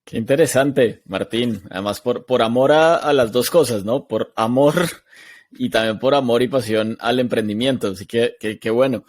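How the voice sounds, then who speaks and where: A man's voice: medium pitch, moderate pace. A man hosting a podcast, introducing his guest and asking questions in an upbeat, encouraging way. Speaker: podcast host.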